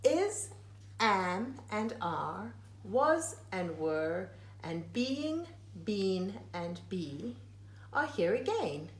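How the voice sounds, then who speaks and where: Slow, expressive woman reading aloud, echoey room. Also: mid-range voice. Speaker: teacher reading a picture book aloud. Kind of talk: read-aloud of a rhyming children's book.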